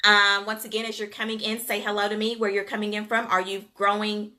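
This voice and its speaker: A woman's voice: mid-range voice, little pitch movement, brisk, sharp tone. A woman with a Southern US accent, hosting a casual livestream and chatting folksily with viewers. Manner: chatty, folksy, addresses viewers as "you guys" and "y'all". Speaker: livestream host, daycare business coach. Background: Gulf Coast.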